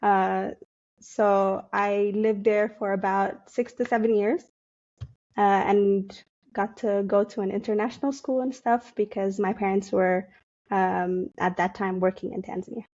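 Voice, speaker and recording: A young woman from Ethiopia, a digital marketer, answering interview questions thoughtfully with many pauses and fillers. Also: mid-range voice, little pitch movement, a little mellow, muffled recording. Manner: thoughtful, hesitant with many fillers, laughs often. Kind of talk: casual interview.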